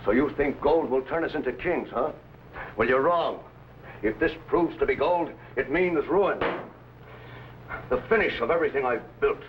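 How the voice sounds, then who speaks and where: Actor as an earnest boss, dull vintage recording. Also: male voice, medium pitch, close to an everyday voice. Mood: alarmed, bitter. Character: earnest, proud landowner and boss, fearing ruin. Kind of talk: vintage adventure drama acting. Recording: dull, noisy vintage drama soundtrack.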